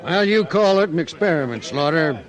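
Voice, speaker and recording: A man's voice: on the high side, lively intonation, moderate pace, crowd noise, natural voice, rough recording. An actor playing a belligerent, scornful big man of a frontier town in a 1950s radio western, blustering and sneering.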